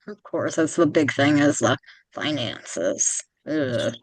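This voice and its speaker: Brief: woman podcast host, youthful sound, slightly low pitch, dry close sound, chatty and rambling. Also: slow, no room sound. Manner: chatty, rambling, with frequent restarts. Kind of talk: casual interview chat.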